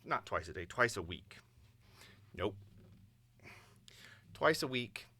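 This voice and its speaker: A man's voice: fairly low pitch, lively intonation, slow pace, sharp tone. Casual man vlogging off the cuff. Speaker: amateur voice actor, vlogger. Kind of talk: casual off-the-cuff vlog chat. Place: home recording space with sound isolation.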